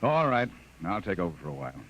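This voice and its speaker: An actor playing a calm male colonel in old radio drama, measured and in command. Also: low voice, slight background noise. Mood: calm, probing. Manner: measured, authoritative. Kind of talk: 1950s radio drama acting. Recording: muffled old radio broadcast.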